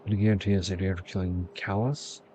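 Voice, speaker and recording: A man's voice: low voice, flat delivery, racing, dark tone, a little hiss or hum. A man giving casual gameplay commentary, offhand and thinking aloud as he reads out choices. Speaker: gamer playing on camera or stream. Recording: game music behind the voice.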